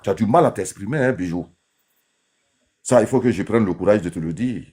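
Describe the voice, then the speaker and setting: A man's voice: on the low side, brisk, a little mellow, slightly rough. A man in a heated online debate, talking insistently and cutting in to make his point. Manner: insistent, interrupting. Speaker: debate participant.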